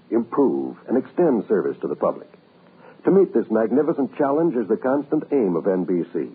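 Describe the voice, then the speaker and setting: A man with an American accent making a solemn, stately network announcement in formal 1940s radio style. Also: on the low side. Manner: solemn, stately, rounded phrasing. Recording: narrow, rough old-time radio recording.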